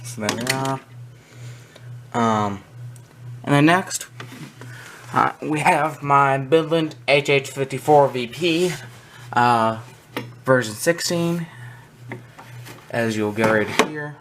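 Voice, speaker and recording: Halting man in a noisy homemade recording. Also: mid-range voice. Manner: halting, pausing mid-sentence. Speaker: hobbyist video presenter. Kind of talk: casual unscripted product demonstration. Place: indoor room with wooden shelves. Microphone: handheld camera's built-in mic. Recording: background noise, amateur handheld camera recording.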